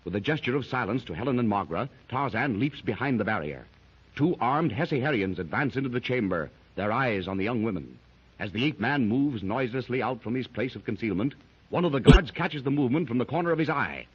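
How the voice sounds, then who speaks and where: A man's voice: mid-range voice, expressive, fairly quick, husky. A man narrating a 1930s radio adventure serial in a dramatic style that rises with the action. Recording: dull lo-fi 1930s radio recording.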